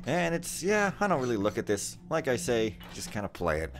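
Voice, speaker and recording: A man's voice: mid-range voice, very animated, a little fast, over music. A man hosting a let's play, chatting casually over gameplay in a rambling, joking, laid-back way.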